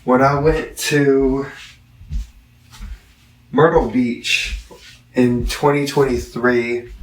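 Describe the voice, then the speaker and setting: A man reviews a product in a casual, meandering way. He speaks slowly at a middling pitch, stopping and drifting off track. The sound is echoey, with the microphone some distance away. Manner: halting, digressive delivery with long pauses. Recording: roomy echo, distant microphone.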